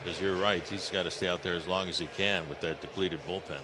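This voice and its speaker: A man's voice: low-pitched, steady tone, a little fast, noisy background. A man with an American accent giving conversational color commentary on a live baseball broadcast. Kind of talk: live sports analysis, conversational.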